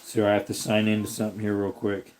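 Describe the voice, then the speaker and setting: A man with a slightly raspy voice hosts a relaxed live stream. He rambles and thinks aloud as he answers his viewers' comments. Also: on the low side, fast, a little hiss or hum. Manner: rambling, thinks aloud while looking things up.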